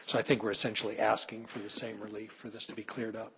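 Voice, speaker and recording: A man with a rough, hoarse voice from laryngitis, an attorney making a formal argument before a licensing board, with many fillers. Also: lowish voice, flat delivery, fast talker, pushed and bright. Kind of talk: formal legal argument at a hearing. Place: city licensing hearing room.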